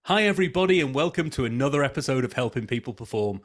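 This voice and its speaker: A man's voice: medium pitch, animated, quick pace, faint music behind. A man hosting a podcast, giving an upbeat, welcoming introduction to his guest. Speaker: podcast host.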